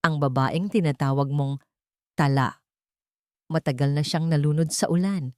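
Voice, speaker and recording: A woman narrator puts on a cold, powerful young Filipino woman out for revenge in an audio romance. Her delivery is sweet with a sarcastic edge, deliberate, in a dry recording. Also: low voice, dark tone, no echo, close to an everyday voice.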